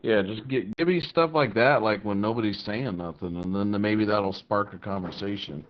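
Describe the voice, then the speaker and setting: A man's voice: lowish voice, moderate pace, background noise. A man chatting casually as a radio operator, thinking aloud in a hesitant way. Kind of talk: casual two-way radio chat. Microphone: two-way radio app or handset. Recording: muffled, band-limited walkie-talkie audio.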